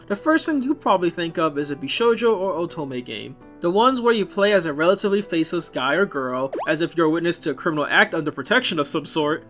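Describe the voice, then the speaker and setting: A man's voice: fairly high pitch, sharp tone. A man narrating a scripted video essay as its host, opinionated and given to wry asides, with music playing behind him. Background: background music.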